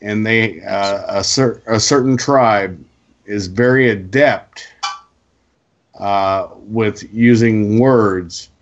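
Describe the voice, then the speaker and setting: A male co-host giving opinionated casual talk, explaining emphatically with halting pauses over a lo-fi connection. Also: lowish voice. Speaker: co-host putting questions to the guest.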